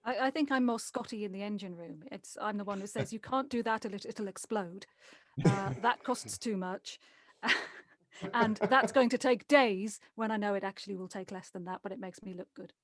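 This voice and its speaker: A woman's voice: mid-range voice, normal speed, dry recording. A woman, a voice actor and producer, chatting casually and jokingly in a group interview over a video call. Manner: joking, self-deprecating.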